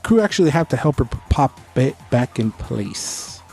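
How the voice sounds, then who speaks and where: A man's voice: mid-range voice, very animated, moderate pace, creaky, mellow, soft background music. A man co-hosting a podcast, giving opinionated, informal review chat with bits of trivia. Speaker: podcast co-host.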